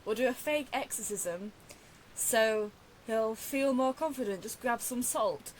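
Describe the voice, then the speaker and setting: Chatty, high-voiced woman streaming a game. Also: a little slow, poor audio. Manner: chatty, rambling, giggly. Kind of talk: casual reaction commentary over game play. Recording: faint background hiss.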